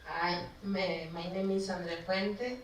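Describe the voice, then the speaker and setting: A woman from Argentina who works at an energy commission, introducing herself slowly and hesitantly. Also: lowish voice, steady tone. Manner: slow, hesitant. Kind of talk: self-introduction. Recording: echoey room from far off, faint noise.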